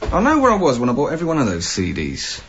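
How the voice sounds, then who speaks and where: A harried young British council officer in a radio drama. He sounds earnest and a bit hesitant, with animated intonation. The recording is dulled and has clear background noise. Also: medium pitch, quick pace. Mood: wry, weary. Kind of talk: naturalistic radio drama acting. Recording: muffled radio recording, noisy ambience.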